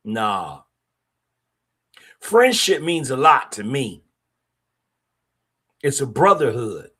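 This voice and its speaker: A man's voice: medium pitch, sing-song, very slow, sharp tone, husky. A middle-aged man, hosting a podcast and speaking bluntly in a streetwise, opinionated way. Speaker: podcast host. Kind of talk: casual monologue podcast.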